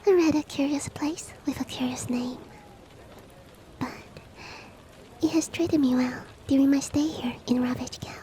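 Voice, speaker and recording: A woman voicing a courteous, coaxing monster-girl maid in a soft, breathy, whispery roleplay ASMR style with formal, old-fashioned politeness. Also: high-pitched, steady tone, unhurried, long pauses, a little hiss or hum. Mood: courteous, reassuring. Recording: rain ambience behind the voice. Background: falling rain.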